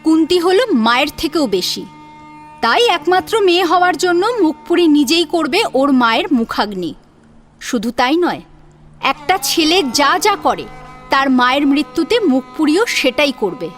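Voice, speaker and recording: A woman narrating a scripted dramatic audio story in an expressive manner. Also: high-pitched, normal speed, soft background music.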